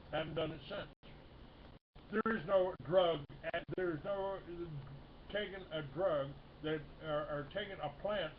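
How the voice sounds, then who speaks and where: A man in his sixties hosting a talk radio show, rambling with halting restarts and lots of fillers. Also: a little high. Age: 60s. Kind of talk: casual talk radio chat. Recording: dull lo-fi broadcast with faint hiss.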